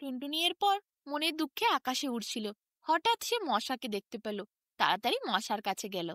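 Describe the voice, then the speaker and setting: Female children's storyteller, high and very animated, expressive bedtime-tale delivery. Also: normal speed, no room sound, studio quality.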